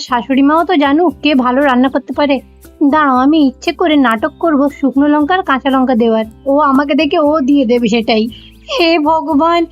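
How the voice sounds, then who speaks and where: Woman doing a scheming young bengali daughter-in-law, cartoon, high voice, music behind. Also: dry recording, close to an everyday voice. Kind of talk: cartoon comedy voice acting. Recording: dull, lo-fi audio with music behind.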